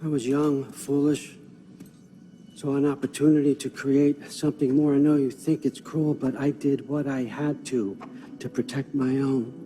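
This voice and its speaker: An actor playing a cunning, aging one-eyed god in a video game cutscene, a manipulative father who talks conversationally, with sardonic, persuasive phrasing and pointed pauses. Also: medium pitch, flat delivery, dark tone, lightly acted, rough recording. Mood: earnest, confiding. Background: music.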